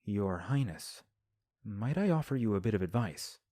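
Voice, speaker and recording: A man voicing a composed young prince in an audio drama, speaking in a low, mellow tone with calm, measured formality. The sound is dry and close, with no room around it. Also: dry recording, close to an everyday voice. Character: composed young male prince giving counsel. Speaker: voice actor. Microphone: home recording.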